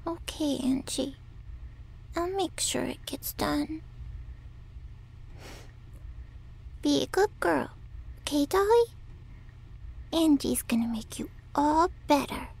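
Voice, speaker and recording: A woman's voice: high-pitched, unhurried, creaky, long pauses, darkish tone. A woman playing a sweet, anxious young caretaker in an ASMR roleplay, speaking in a girlish, coaxing and submissive way. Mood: tender, reluctant.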